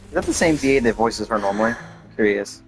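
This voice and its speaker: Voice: mid-range voice, fast talker. Casual game streamer with music behind. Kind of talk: casual gameplay reaction commentary.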